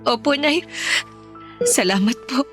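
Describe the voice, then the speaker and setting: A woman's voice: high-pitched, very animated, measured pace. A woman playing a devoted, anxious Filipino mother in a radio drama, earnest and emotional. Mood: weary, hopeful. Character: devoted, hopeful, anxious mother of a sick boy. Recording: music bed behind.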